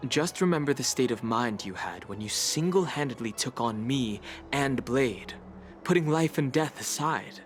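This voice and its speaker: A man voicing a calm, reserved young warrior mentor in an anime-style video game. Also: mid-range voice, normal speed, faint music behind, natural voice. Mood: composed, reassuring. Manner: composed, encouraging.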